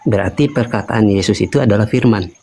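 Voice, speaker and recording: A man putting questions in a debate over a voice call, halting and restarting while he keeps checking for agreement. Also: on the low side, vocal fry, warm tone, breathy edge. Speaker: caller questioning a guest.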